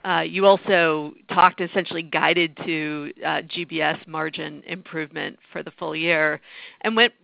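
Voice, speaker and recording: A woman analyst asking a measured, precise question on an earnings call. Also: deep voice, fairly even intonation, creaky, no echo, dull audio.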